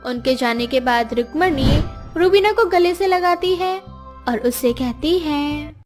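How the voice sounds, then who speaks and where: High-pitched woman storyteller. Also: animated, measured pace, soft background music. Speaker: storyteller narrator. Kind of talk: scripted story narration for a Hindi moral tale.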